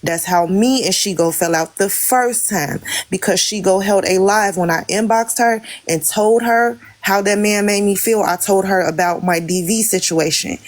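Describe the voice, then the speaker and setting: A woman's voice: mid-range voice, moderate pace, hardly any pauses. A woman guest on a live stream, recounting events in an emphatic, storytelling way.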